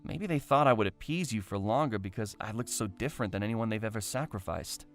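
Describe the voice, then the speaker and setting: A man playing a brave, chatty young castaway in a fan audio drama, with a wry, expressive, conversational delivery. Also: lowish voice, quick pace, faint music behind, natural voice. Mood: puzzled.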